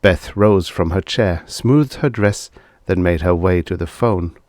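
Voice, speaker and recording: A man's voice: low voice, measured pace, darkish tone, dry recording, studio quality. A man narrating scripted fiction as an audiobook reader, telling the story expressively.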